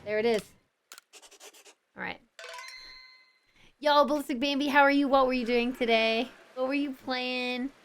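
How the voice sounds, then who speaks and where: A woman streaming a game live, chatting with viewers and reacting excitedly, her voice worn out and tired. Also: high voice, measured pace, pauses often, slight background noise. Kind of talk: casual live-stream commentary.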